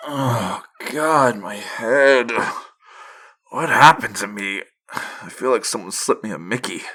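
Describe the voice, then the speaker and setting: A man's voice: medium pitch, lively intonation, takes it slowly, pushed and bright, exaggerated voice. A man playing a frightened, pleading newspaper reporter who sounds rattled and out of his depth, in a comic dub. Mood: groggy.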